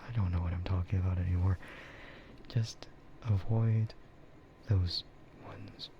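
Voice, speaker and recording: A man with a low, breathy voice rambles in a soft ASMR half-whisper. He talks slowly and informally, often hesitating. The audio is muffled, with clear noise behind him. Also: steady tone. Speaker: VTuber streamer hosting an ASMR stream.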